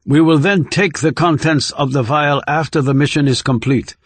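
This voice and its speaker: A man's voice: on the high side, normal speed, dry recording, close to an everyday voice. Narrator as a cold, stern male agent. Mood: solemn, zealous. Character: cold, callous, zealous male North Korean agent. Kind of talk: audiobook character dialogue.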